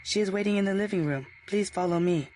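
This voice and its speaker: A woman's voice: medium pitch, fast talker, darkish tone, a little hiss or hum, close to an everyday voice. Formal, deferential maid played by a woman. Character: polite, deferential female house servant. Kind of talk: video game voice acting.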